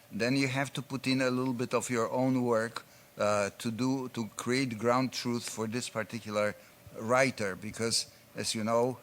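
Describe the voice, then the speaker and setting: A man's voice: mid-range voice, fairly bright tone. A man presenting at a conference, answering audience questions in an explanatory way with frequent fillers. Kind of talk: conference Q&A talk.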